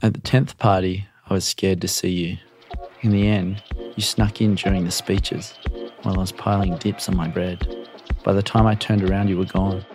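Very deep, creaky male storyteller. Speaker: storyteller reading own short story. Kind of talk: literary first-person narration.

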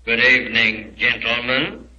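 Sharp, raspy, suave British villain. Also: male voice, mid-range voice, fairly even intonation, measured pace. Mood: mockingly polite. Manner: silky, mockingly courteous, theatrical. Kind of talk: 1940s radio drama acting. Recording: dull old 1940s radio recording.

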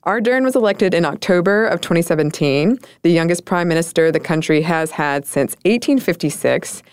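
Smooth-voiced woman podcast host, close and dry. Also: fairly low pitch, expressive, moderate pace, no room sound. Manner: chatty, laughs often, thoughtful. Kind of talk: casual conversational podcast.

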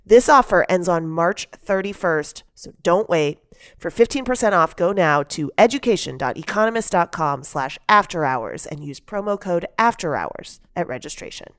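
A woman host reads a scripted sponsor spot in a smooth, clear voice. It sits slightly low in pitch and is recorded very dry. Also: lively intonation, moderate pace, no echo. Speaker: podcast host reading sponsor message. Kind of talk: scripted advertisement read.

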